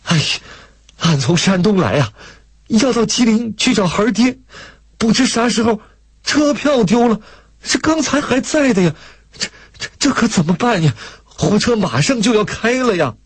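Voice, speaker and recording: A man's voice: high voice, huge pitch swings, moderate pace, a little mellow, slightly rough, voice pushed up, exaggerated voice. A man voicing a flustered middle-aged rural woman from in an audiobook story, exclaiming with worry or gratitude. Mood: panicked, tearful. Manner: flustered, exclaiming, effusively grateful. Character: distraught middle-aged rural Shandong woman who lost her ticket.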